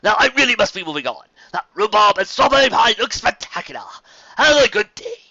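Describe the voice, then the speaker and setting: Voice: medium pitch, big pitch swings, brisk, bright, forceful tone, slightly rough, no echo, voice pushed up, heavily acted. A reader voicing a polite, well-meaning aristocratic stallion who speaks graciously, in a dull lo-fi recording. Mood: courteous. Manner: courteous and gracious.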